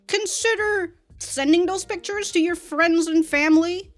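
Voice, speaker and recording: A woman giving casual, rambling reaction commentary in an animated, sweary manner. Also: very high voice, unhurried. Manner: rambling, animated, laughs through remarks, swears. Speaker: reaction video host. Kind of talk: casual commentary on an anime episode.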